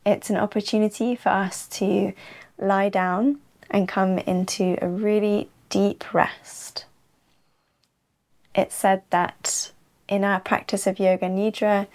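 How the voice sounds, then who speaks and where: Very slow-speaking woman guiding deep relaxation. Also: a little mellow. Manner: unhurried, soothing delivery with long pauses. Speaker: yoga nidra teacher. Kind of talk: slow guided relaxation narration.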